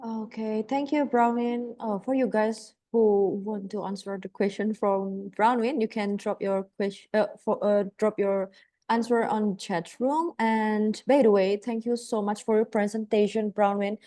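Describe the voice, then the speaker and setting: A woman's voice: mid-range voice, steady tone, measured pace, mellow. A woman from Indonesia with an Indonesian accent, facilitating an online session on a video call, polite with frequent fillers.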